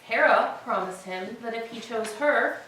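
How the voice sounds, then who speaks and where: A woman playing a beautiful, defiant captive queen in a staged tragedy reading, arguing in measured, formal speech. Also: a little high, a bit bright. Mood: composed, defiant. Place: church, live staged reading. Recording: echoey church, distant mic, faint noise.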